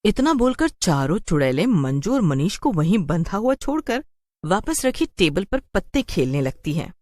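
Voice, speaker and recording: A woman's voice: lowish voice, big pitch swings, normal speed, darkish tone, no room sound. A woman reading a scripted horror story as its narrator.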